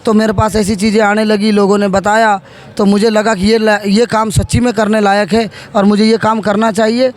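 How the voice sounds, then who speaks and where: Male politician, 30s, high voice, halting interview delivery with repeated phrases. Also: flat delivery. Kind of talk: interview answers.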